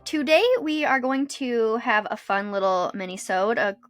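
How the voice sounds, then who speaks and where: A woman's voice: fairly high pitch, lively intonation, moderate pace, soft background music. A young adult woman chatting casually as a podcast co-host.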